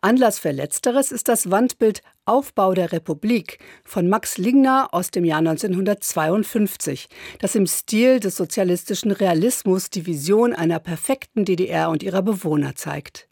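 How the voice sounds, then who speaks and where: A female radio reporter reads her scripted report at an even, measured pace. Her voice is gravelly and a little husky. The recording is dry and close to the mic. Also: mid-range voice, no echo.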